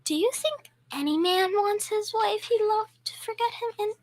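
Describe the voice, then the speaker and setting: High-voiced grieving widow played by a woman. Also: moderate pace, darkish tone. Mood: wounded, intense. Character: grieving, reclusive young war widow, polite and fragile. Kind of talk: old-time radio drama acting, amateur school performance. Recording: clear background noise.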